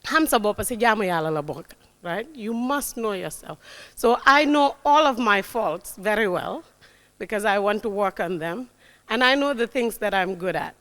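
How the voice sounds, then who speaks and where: A woman's voice: medium pitch, lively intonation, moderate pace. A woman in her forties giving a motivational talk to young people, emphatic, often checking in with "right?". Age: 40s. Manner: warm, emphatic, repeats key words, frequent "right?". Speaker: guest speaker. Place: lecture hall. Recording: long hall reverb.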